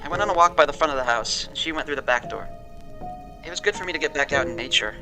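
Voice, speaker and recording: Over a clear musical score, a male actor plays a wary, shaken man with a fairly high voice. He gives his account like testimony in an audio drama, pausing for dramatic weight. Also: lively intonation, music in the background, natural voice, rough recording. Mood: uneasy, haunted. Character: shaken, wary man recounting a frightening experience. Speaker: interviewee.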